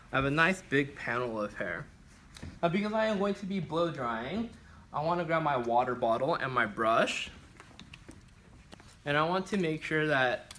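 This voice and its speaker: A man's voice: mid-range voice, fairly bright tone, slight background noise. A man teaching as a barbering instructor, explaining a practical demonstration step by step.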